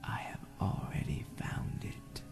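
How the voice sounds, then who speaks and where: Casting: man to play predatory vampire suitor, audio drama, hushed breathy whisper, very slow, passionate and romantic. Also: music in the background, natural voice. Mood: seductive, tender. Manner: slow, intense, romantic wooing. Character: seductive, passionate male vampire stalker, smooth predatory lover.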